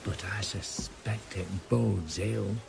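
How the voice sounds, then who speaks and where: A guarded sailor in a video game, fairly low in pitch. He is terse and wary, speaking over clear background noise of ship ambience. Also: fairly even intonation, takes it slowly, exaggerated voice. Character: guarded, wary sailor informant, grimly loyal.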